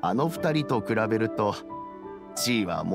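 An actor playing an aging, reflective elder statesman in a Japanese game, with gravelly, measured, old-fashioned speech. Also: lowish voice, big pitch swings, over music. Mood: calm, explanatory. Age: older.

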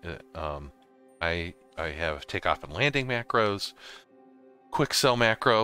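A man narrating a casual gaming software tutorial, explaining things informally step by step. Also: sits low. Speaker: host of a gaming tutorial series. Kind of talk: casual software tutorial narration over slides and screen capture.

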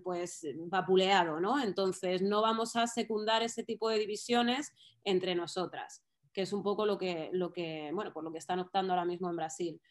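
A woman in her thirties, an author guest, talking thoughtfully on a video call from a small room at home, with many pauses and fillers. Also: mid-range voice. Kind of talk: casual chat on a video call. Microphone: laptop webcam on a video call.

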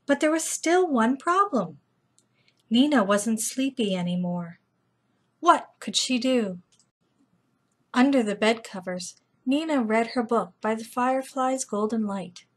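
A middle-aged woman hosting a storytime, reading a children's book aloud in a gentle, deliberate manner. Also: fairly high pitch. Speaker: storytime host. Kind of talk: children's read-aloud narration.

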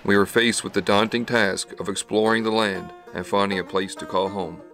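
A man narrates an outdoor adventure from a script in a fairly low voice. Music plays clearly behind his words. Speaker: narrator of an outdoor survival vlog.

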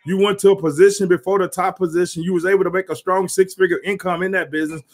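A man's voice: sits high, fairly even intonation, quick pace. A man, hosting a casual livestream interview over a video call from a small home office, talking with lots of energy and hyping up his guest. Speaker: host. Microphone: webcam on a video call.

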